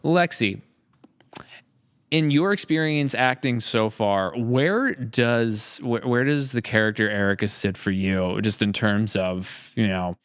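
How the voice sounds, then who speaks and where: A man's voice: lowish voice, slow pace, fairly bright tone, smooth, dry recording, studio quality. A man hosting a podcast in casual conversation, talking in an enthusiastic, rambling way. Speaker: podcast host.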